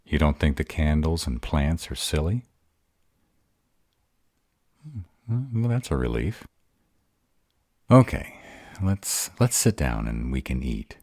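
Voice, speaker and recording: A man voicing a gruff, bashful were-dragon in human form, hesitant, earnest and tender in an intimate romantic audio roleplay. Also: extremely low pitch, sing-song, unhurried, a little mellow, no room sound, clean studio sound, exaggerated voice. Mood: bashful, relieved.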